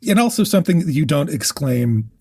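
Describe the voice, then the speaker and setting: A man's voice: on the high side, big pitch swings, brisk, gravelly, a little mellow, breathy edge, dry recording. A man co-hosting a podcast, chatting casually about books in an easygoing, joking way. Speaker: podcast co-host. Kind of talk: casual book chat.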